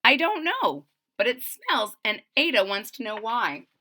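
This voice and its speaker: A woman's voice: fairly high pitch, measured pace. A woman reading a children's story aloud with dramatic emphasis, explaining simply as if to young listeners. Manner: expressive, dramatic emphasis on key words. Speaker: storybook reader.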